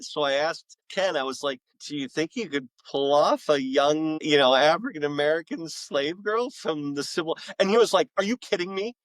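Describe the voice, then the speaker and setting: A middle-aged man chatting as an interview guest, enthusiastic and emphatic, pausing and repeating words for effect. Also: fairly high pitch, no room sound. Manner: enthusiastic, emphatic storyteller with dramatic pauses and repetition. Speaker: author and voice-acting school director, podcast guest. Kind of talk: casual, animated interview chat.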